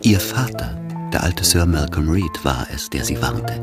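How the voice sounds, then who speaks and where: A man's voice: rumbling low, expressive, a little slow. A man narrating a literary radio drama in scripted, storytelling prose. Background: music.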